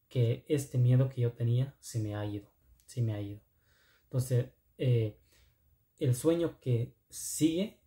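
A man from Oaxaca whose first language is Zapotec speaks with a Mexican second-language accent. His voice is very soft-edged and dark, at a middling pitch. He talks casually and earnestly as a motivational vlogger, halting and repeating himself, in an echoey space. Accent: Mexican, second-language Spanish with Zapotec influence. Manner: halting, repetitive, earnest phrasing. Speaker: video channel host. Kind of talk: casual motivational vlog talk.

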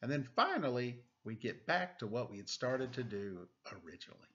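A middle-aged man with a playful, animated, self-deprecating manner, doing a children's read-aloud with casual, joking asides into a webcam at home. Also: mid-range voice, normal speed. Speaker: storytime reader. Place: indoors, room at home. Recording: dull webcam audio, noisy background, clicks.

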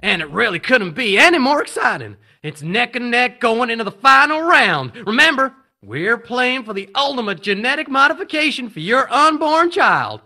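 An actor playing an excitable, showy male game show host in an audio drama. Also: extremely high pitch, moderate pace, few pauses, heavily acted. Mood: excited, showy. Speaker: quiz host.

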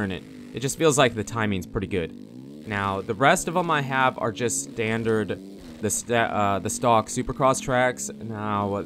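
A man's voice: lowish voice, background noise. A man hosting a gaming video, giving casual commentary on the gameplay.

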